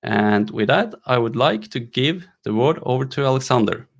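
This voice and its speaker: Gravelly-voiced man hosting a meetup. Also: mid-range voice, lively intonation. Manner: loose, halting, with fillers. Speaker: meetup host. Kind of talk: introducing a speaker.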